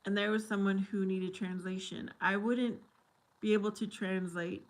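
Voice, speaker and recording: A woman's voice: mid-range voice, fairly even intonation, normal speed. A woman chatting casually with viewers on a livestream, slipping Spanish phrases in between English. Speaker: livestream host.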